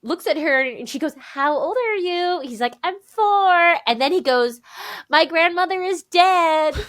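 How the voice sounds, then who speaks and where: A woman in her thirties, a podcast guest, telling family stories in an animated, chatty way and acting out the dialogue. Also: very high voice, a little slow. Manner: animated, acts out quoted dialogue, frequent "like". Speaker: podcast guest, memoir author. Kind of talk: conversational storytelling interview.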